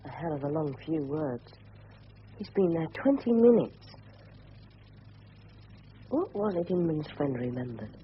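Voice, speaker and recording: An actor playing an earnest, polite, slightly hesitant teenage English schoolboy in a 1970s radio drama. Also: male voice, on the high side, big pitch swings, a little slow, long pauses. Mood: worried. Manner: polite, hesitant, well-spoken. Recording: muffled, noisy old radio broadcast recording.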